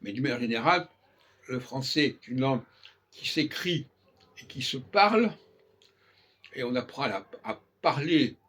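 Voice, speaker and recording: A man's voice: medium pitch, expressive, moderate pace, pauses often, fairly bright tone, slightly rough. An older French man in a casual spoken monologue, musing with frequent hesitations and restarted phrases. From France. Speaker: channel host.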